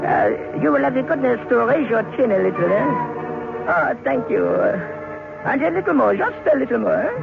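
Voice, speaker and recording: An actor playing a menacing little murderer in old radio horror, fussy, halting and over-polite. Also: male voice, very high-pitched, sing-song, gravelly, exaggerated voice. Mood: hushed, menacing. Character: menacing little French murderer, suavely polite, gleefully sinister. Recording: muffled vintage radio recording, music behind.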